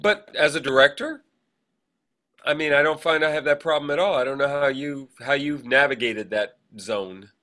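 A man with an American accent hosting a casual chat, animated and given to acting out quoted remarks. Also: fairly high pitch, normal speed. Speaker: podcast host, actor and director.

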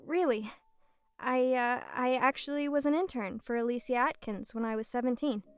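A woman's voice: a little high, normal speed, smooth, natural voice. A young woman playing a shy, modest, hesitant nineteen-year-old engineer in an audio drama. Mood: self-doubting.

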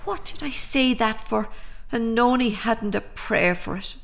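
A slow-spoken, fretful Irish mother. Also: on the high side, gravelly. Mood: grim confession. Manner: halting, deliberate, fretful. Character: anxious, prim middle-aged mother, secretly a seasoned killer. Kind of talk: audio drama acting.

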